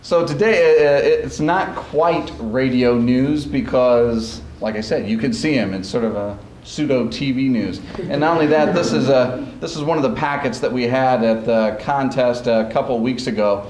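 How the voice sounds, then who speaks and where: A man's voice: medium pitch, moderate pace, few pauses, a little mellow. A man speaking as a coach and host, informally introducing an event to a live audience in a rambling, explanatory way. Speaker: speech coach hosting a student showcase. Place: large room at a school event. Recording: echoey, noisy archive recording of live event.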